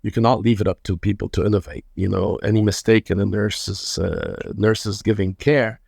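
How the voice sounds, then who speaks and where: Gravelly-voiced man in his fifties. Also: on the low side, fairly even intonation, darkish tone, no room sound, studio quality. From Lebanon. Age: 50s. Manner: unhurried, often repeats words while searching for the next phrase. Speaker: guest, hospital chairman. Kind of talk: interview storytelling.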